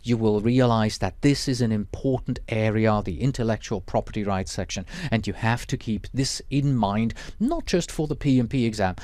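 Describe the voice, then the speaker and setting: A man whose first language is Swiss German, teaching a lecture in a measured, explanatory way with Swiss German accent. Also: lowish voice, darkish tone, no room sound. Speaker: project management instructor.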